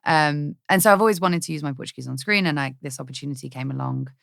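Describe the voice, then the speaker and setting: Fast-talking gravelly woman. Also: low voice, a bit bright, dry recording, studio quality. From Britain. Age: 30s. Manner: candid, rapid, restarts, occasional swearing. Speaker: guest, actress. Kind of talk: casual podcast conversation.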